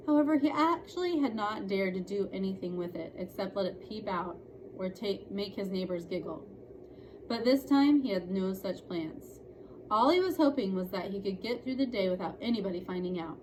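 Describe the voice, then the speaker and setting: A woman reads a children's story aloud as narrator. Her pitch is medium, and there is clear noise in the recording. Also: a little mellow. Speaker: reader of a children's novel. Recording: clearly noisy room recording.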